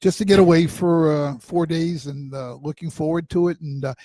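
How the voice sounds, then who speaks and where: A man's voice: a little high, fairly even intonation, moderate pace, mellow, no room sound. A man with a Massachusetts accent co-hosting a talk show, telling playful stories. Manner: chatty storyteller, playful. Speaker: co-host. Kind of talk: casual radio chat.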